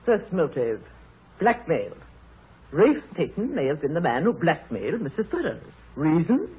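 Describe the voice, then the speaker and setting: A woman's voice: low voice, very animated, normal speed, slightly rough, exaggerated voice. An actress playing a nosy, bossy, know-it-all village woman with emphatic, fussy delivery in a 1930s radio drama. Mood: emphatic, certain. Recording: muffled, scratchy 1930s radio broadcast.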